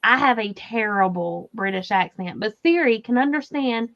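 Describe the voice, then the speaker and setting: Southern woman host, high-pitched, chatty and giggly, slightly dull lo-fi sound. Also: animated, moderate pace, a bit bright. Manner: chatty, laughing, reads viewer comments aloud. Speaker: crochet livestream host. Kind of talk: casual livestream chat.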